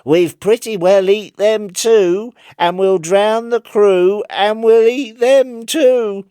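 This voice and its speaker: A man voicing a gleeful, menacing talking rat in a storybook, with a taunting, drawn-out chant. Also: high-pitched, mellow, smooth, no echo, clean studio sound, pitched above the performer's own voice. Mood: gleeful menace. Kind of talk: dramatic storybook reading.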